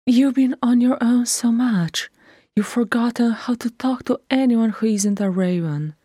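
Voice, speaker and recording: Woman doing a calm elder dwarf sister, gentle coaxing, dry close sound. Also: on the high side, measured pace, husky, dry recording, voice pushed up. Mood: soothing.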